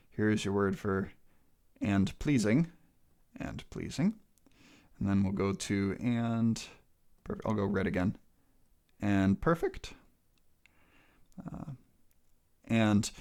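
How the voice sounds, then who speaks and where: A man with a lowish voice talks through a screen-share study. He thinks aloud, stopping for ums and restarting his sentences as he goes. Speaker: channel host teaching a manuscript study. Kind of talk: casual screen-share walkthrough.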